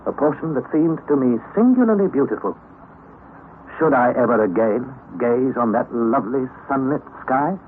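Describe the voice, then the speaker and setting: Man playing a doubtful young nephew narrator, radio drama, lively pitch, tinny lo-fi recording with faint hiss. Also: natural voice. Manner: earnest first-person storytelling.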